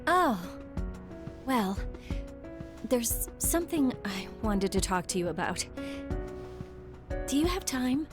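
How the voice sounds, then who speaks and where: A woman's voice: on the high side, sing-song. A hesitant young girlfriend. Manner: hesitant, halting. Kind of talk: video game cutscene voice acting. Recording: game audio with background music.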